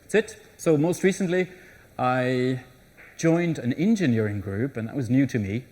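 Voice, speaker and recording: A man's voice: mid-range voice, animated, normal speed, a little mellow. Chatty male conference presenter. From Germany. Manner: conversational, with frequent restarts and fillers. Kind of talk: live conference talk. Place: conference session room.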